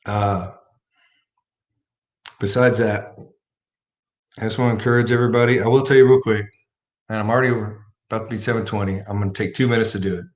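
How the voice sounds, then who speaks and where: A man hosting a livestream, talking casually about faith and telling a personal story with rising emphasis. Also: on the low side. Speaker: livestream host.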